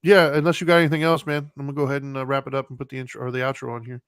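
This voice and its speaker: A man's voice: medium pitch, level tone, very fast, a bit bright, clean tone, dry recording, clean studio sound. A man with an American accent hosting a podcast in casual, opinionated chat, informal and plain-spoken. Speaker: podcast host.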